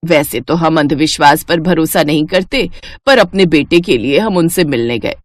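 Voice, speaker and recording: A woman's voice: low voice, moderate pace, no echo, studio quality. A woman playing an earnest middle-aged mother-in-law who explains things matter-of-factly in a family cartoon story. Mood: confessing, relieved. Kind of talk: Hindi cartoon story voice acting.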